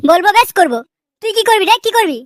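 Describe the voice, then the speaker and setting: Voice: very high-pitched, lively intonation, fast, no echo, clean studio sound. A performer voicing a quarrelsome, mocking cartoon character in a Bengali comedy dub, with crude scolding delivery. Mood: argumentative.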